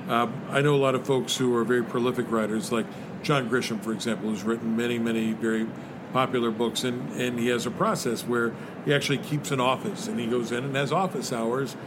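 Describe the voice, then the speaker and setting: A man's voice: mid-range voice, steady tone, fairly quick. A man hosting an interview, asking long conversational questions. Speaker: podcast host. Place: noisy spot near traffic. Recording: background traffic noise.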